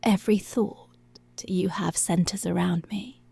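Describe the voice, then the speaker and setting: A woman playing a commanding, seductive policewoman in an intimate ASMR roleplay, speaking slowly and deliberately in a breathy voice that often drops to a whisper. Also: lowish voice, steady tone, warm tone, no room sound, heavily acted. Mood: controlling, possessive. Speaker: ASMR voice actor.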